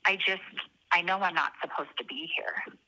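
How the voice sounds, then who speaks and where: A woman's voice: on the low side, moderate pace, pushed and bright, poor audio. A woman calling in to an advice show, hesitant and searching for words as she talks emotionally. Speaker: caller. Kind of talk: phone-in conversation.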